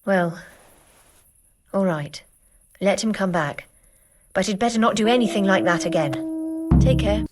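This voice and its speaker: A woman's voice: medium pitch, lively intonation, measured pace, long pauses, natural voice. An actress playing a wary, stubborn, protective mother in a video game, speaking with doubt and reluctance. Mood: grudging. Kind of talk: video game cutscene acting.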